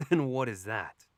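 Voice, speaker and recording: A man's voice: mid-range voice, a little mellow, no echo. A man playing a wry, abrasive young elf nobleman in an audio drama, with a dry, sardonic delivery. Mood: curious, uneasy.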